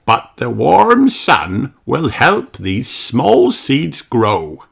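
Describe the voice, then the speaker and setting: Kindly old grandpa pig played by a male reader, patient and lilting, slow teaching delivery for a storybook. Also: mid-range voice, wildly swooping pitch, creaky, a bit bright, breathy edge, pitched above the performer's own voice, full character voice. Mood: kindly, instructive. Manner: patient, instructive. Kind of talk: children's storybook character voice.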